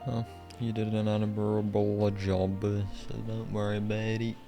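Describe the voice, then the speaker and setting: A man with a lowish voice gives casual live commentary as he streams a game. He rambles in an offhand, slangy manner, with some game music faintly behind him. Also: monotone, unhurried.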